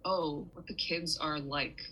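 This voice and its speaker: Low bright-toned woman, chatty guest. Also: fairly even intonation, slow, slight background noise, low-quality recording. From Taiwan. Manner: chatty, many restarts and "like" fillers. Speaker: musician guest. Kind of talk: casual interview answers.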